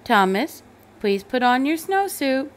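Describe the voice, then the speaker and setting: An exasperated storybook mum, put on by a woman, high and forceful in pitch and delivery. She gives firm orders to a stubborn child, with swooping emphasis. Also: sing-song, measured pace. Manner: firm, commanding, rising to shrill orders. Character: bossy, exasperated mother of a small boy.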